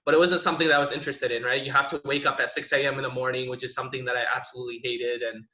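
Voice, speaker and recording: A young man from Indonesia talks quickly in a bright, forward voice, with a steady, flat pitch. He tells his story casually and keeps filling gaps with "you know" and "um". The sound is roomy and a little dull, like a video call picked up in an echoey room. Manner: relaxed and conversational, many fillers like "you know" and "um". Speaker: panel speaker, tech professional. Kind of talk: online panel, personal story.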